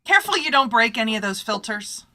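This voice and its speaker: Woman playing a brash, lively radio-drama newswoman. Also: fairly high pitch, fast, heavy background noise. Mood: brisk. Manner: snappy, slangy, wisecracking. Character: brash, bossy woman news photographer chasing trouble. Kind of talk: old-time radio drama acting.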